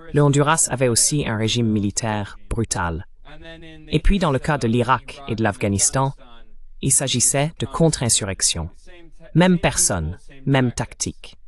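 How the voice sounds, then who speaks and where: A man with a mid-pitched voice speaks as an interviewed commentator in a dubbed programme. His delivery is measured and didactic, with emphasis placed on key points.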